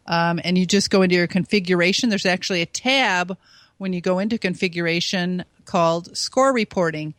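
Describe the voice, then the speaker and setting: A woman with a high voice, a guest on a call-in radio show, explaining things in a chatty, step-by-step way. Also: dry recording.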